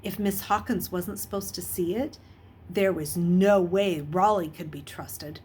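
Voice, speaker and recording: A woman reading a children's novel aloud as an expressive storyteller, with a husky voice. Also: fairly low pitch, unhurried, slight background noise. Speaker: audiobook reader.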